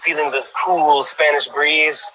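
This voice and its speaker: A man's voice: fairly high pitch, steady tone, a little slow, pushed and bright, slightly rough, dry recording, low-quality recording. A man chatting enthusiastically on a video call as an interview guest, talking with many restarts and fillers. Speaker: guest, cyclist. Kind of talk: casual video call chat. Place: cycling town, showing a cafe on camera.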